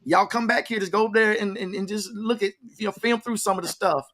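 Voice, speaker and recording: A man with a fairly high-pitched voice hosts a casual interview. He talks in a folksy, hyping way and likes to repeat words for emphasis. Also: steady tone, fairly quick, a loud crowd. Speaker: interview show host. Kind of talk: casual talk-show interviewing.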